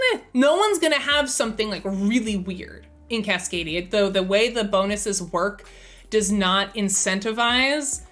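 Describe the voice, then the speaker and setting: A woman's voice: mid-range voice, fairly bright tone. Chatty woman streamer, slightly strained voice. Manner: chatty, enthusiastic, thinks aloud with fillers. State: slightly strained, losing her voice. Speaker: streamer and host. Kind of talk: casual live-stream chat.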